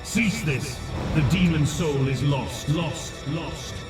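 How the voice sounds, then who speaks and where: A man's voice: a little high, slow. A man voicing a bitter, reclusive ancient dragon in a fantasy game, echoing and obsessively repeating words. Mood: anguished anger. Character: ancient reclusive male dragon, bitter, half-mad and brooding. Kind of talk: fantasy game cutscene acting. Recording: distant, heavily echoing, loud music.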